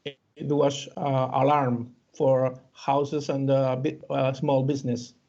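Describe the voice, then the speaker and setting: A man from Cuba with a Cuban Spanish accent, answering interview questions haltingly with many fillers and restarts; Spanish is his first language. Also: mid-range voice, flat delivery, vocal fry, warm tone. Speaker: interview guest. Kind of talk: hesitant conversational interview answers. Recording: dull lo-fi audio.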